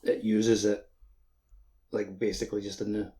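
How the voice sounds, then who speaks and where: A man with a fairly low voice co-hosts a casual gaming podcast. His intonation stays flat and level as he chats informally. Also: moderate pace. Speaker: podcast co-host. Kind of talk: casual discussion of video games.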